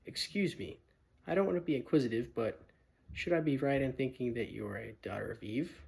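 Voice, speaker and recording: A man's voice: medium pitch, fairly quick, creaky, slightly rough. A man voicing a polite, flustered male fawn in a storybook reading, repeating himself in his courteous, wistful way. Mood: eager.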